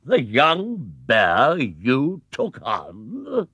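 Booming, husky English gentleman. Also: wildly swooping pitch, dry recording, heavily acted. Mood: astonished. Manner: booming, drawn-out, hesitant public speaking. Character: booming, flustered, pompous gentleman factory owner.

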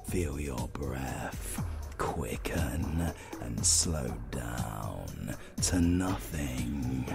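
A man's voice: a bass voice, gravelly, exaggerated voice. A British man playing an arrogant, flirtatious supervillain in a romantic comedy audio roleplay, theatrical and easily exasperated. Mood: slow gloating menace. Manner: theatrical, swinging from slow menace to exasperated outbursts. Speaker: voice actor.

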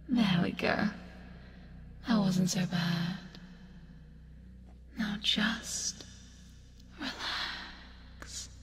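A woman playing a teasing, creepy yet caring sleep paralysis demon in a breathy, soothing ASMR hypnosis roleplay, close to the microphone. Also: medium pitch. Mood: coaxing. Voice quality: breathy, whispery. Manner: slow, soothing, coaxing with teasing asides. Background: music.

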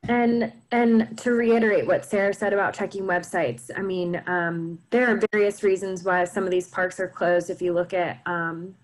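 A woman's voice: fairly low pitch, fairly even intonation, normal speed, few pauses, rough recording. Woman moderating webinar questions. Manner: plain, reads questions then adds comments. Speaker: webinar co-host reading audience questions. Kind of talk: moderating questions on a video call. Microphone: video call.

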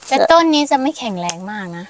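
A woman's voice: quick pace, dry recording. A young woman on a farm walk, reacting and asking curious questions. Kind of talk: casual chat, short reactions. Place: outdoors on a farm among trees.

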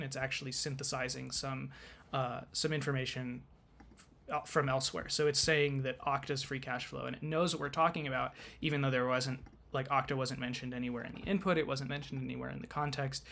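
A man presenting a software tutorial in a conversational, informal and explanatory way, as in a casual screen-share. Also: medium pitch, creaky, slight background noise.

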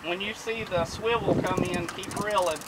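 Man, calm fishing instructions, heard from a distance, heavy wind noise. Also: sits high, normal speed. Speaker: fishing guide. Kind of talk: coaching a child through reeling in a fish. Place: outdoors on a boat on a windy lake.

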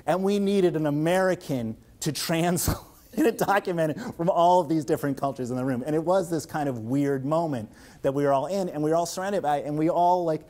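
A man's voice: a little high, fairly quick, a little mellow. A middle-aged man with a Philadelphia accent giving an academic seminar talk in a room with an audience, enthusiastic and rambling with many restarts and fillers. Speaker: visiting lecturer.

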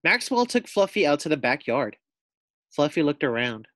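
A man's voice: fairly high pitch, big pitch swings, normal speed, fairly bright tone, dry recording. A man reading a children's storybook aloud as a teacher, expressively, with explanatory asides and questions to pupils.

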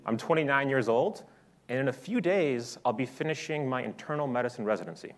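A man in his twenties speaks in a film scene as a doctor. His delivery is brisk and matter-of-fact. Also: mid-range voice, expressive. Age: 20s.

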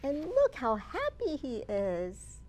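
High-pitched woman reading slowly to children. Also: slight background noise. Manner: expressive, deliberate, with dramatic emphasis and exclamations. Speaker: children's librarian, storytime host. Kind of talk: animated read-aloud of a picture book for young children. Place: open library children's area, indoors.

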